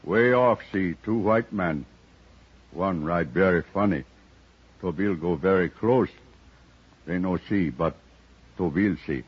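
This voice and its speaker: Raspy, low-voiced stoic tracker. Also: male voice, a little slow, long pauses. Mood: stoic, wry. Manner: clipped broken English, speaks of himself by name. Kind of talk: 1950s radio western acting. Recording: muffled old radio recording.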